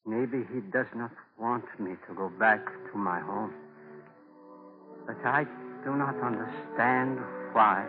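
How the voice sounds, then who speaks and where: A man's voice: fairly low pitch, takes it slowly, music in the background. Solemn, homesick Native man, old radio. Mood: wistful. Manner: solemn, simple formal phrasing. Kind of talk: 1940s radio drama acting. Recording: thin, narrow-band 1940s radio broadcast.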